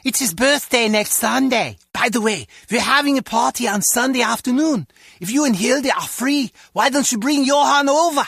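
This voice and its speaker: A woman's voice: on the high side, normal speed, gravelly, a bit bright, breathy edge, dry recording, clean studio sound, exaggerated voice. A woman voicing a friendly, chatty parent in a video game.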